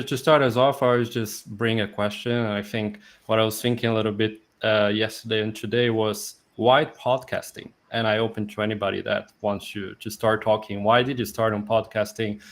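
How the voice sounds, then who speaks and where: A man moderating a video call panel, speaking haltingly and passing the turn with short prompts. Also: lowish voice, level tone.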